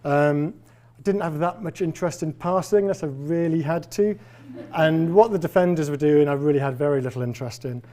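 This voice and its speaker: A man's voice: fairly high pitch, warm tone. A man in his forties from Wales with a faint Welsh accent, giving a public lecture as a professor in a wry, self-deprecating, conversational way. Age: 40s. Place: lecture hall with a live audience.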